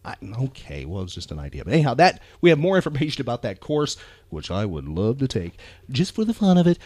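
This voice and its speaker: An enthusiastic man hosts a casual pop culture podcast. His delivery rambles and swings freely up and down in pitch. The sound is dry and intimate, with little room around it. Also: huge pitch swings, fast, darkish tone, no room sound. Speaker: podcast host.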